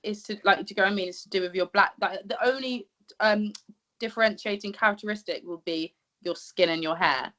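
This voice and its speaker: A woman co-hosts a relaxed podcast conversation in a mid-pitched voice. She talks in an animated way, laughs easily, and keeps asking whether the others get what she means. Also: a little fast. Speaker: podcast co-host. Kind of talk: casual conversational interview.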